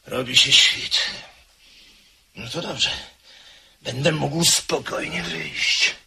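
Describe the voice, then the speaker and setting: An actor playing an anxious gentleman in a radio drama who mutters to himself and stammers. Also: mid-range voice, animated, very slow, gravelly, slightly rough, full character voice. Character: nervous gentleman guest, jumpy and anxious. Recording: hissy old radio tape.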